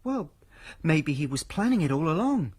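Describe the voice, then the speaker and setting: An actor playing an over-dramatic, defiant young son in a radio drama, insistent and melodramatic. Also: male voice, fairly high pitch, sing-song, normal speed, husky. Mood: urgent, suspicious. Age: young adult.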